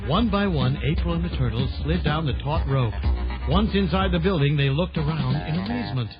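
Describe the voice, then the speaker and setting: A man's voice: on the high side, expressive, fairly quick. A man narrating a children's adventure audio drama in a dramatic, scripted storytelling style. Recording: dull lo-fi 1980s audio drama recording. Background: loud music score.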